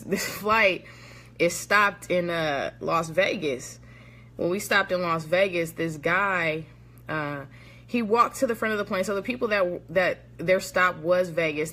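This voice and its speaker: A woman's voice: low voice, sharp tone. A woman from the Bay Area, a motivational speaker, casually telling a story to viewers on a live video, chatty and laughing often. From San Francisco Bay Area.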